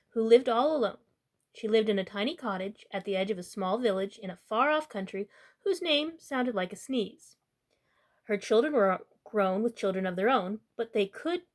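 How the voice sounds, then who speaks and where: A woman hosting a storytime, reading a children's picture book aloud as narrator. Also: mid-range voice.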